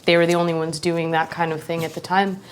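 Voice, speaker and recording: Woman guest co-host, lowish voice, hesitant, lots of fillers, faint hiss. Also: level tone, fast talker. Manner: hesitant, many "um" and "like". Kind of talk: casual chat.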